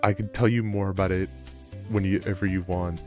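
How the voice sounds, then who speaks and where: A man with a low voice chatting casually on a live stream, rambling and self-deprecating. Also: little pitch movement, brisk. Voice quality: low-pitched voice. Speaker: livestreamer. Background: background music.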